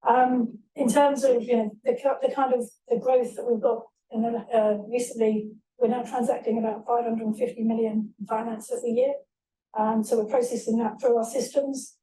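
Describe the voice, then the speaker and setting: A woman with a fairly high, mellow voice explains her business in a businesslike way, stopping often to restart. She is heard from a distance. The room echoes. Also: level tone, moderate pace. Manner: businesslike, many ums and restarts. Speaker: tech founder on a panel. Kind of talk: panel talk. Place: event hall.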